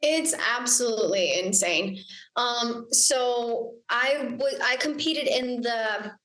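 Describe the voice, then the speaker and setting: A woman's voice: a little high, slow, fairly bright tone, echoey room, poor audio. A young Icelandic woman, a singer and songwriter, chatting as a guest in a casual interview, bubbly and giggly, often quoting herself.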